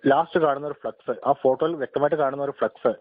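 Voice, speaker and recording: Male caller, polite but insistent complainant. Very quick delivery, thin telephone sound. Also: medium pitch, dry recording. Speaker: temple head priest, complainant caller. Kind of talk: formal phone complaint. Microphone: telephone call. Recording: tinny narrow phone line.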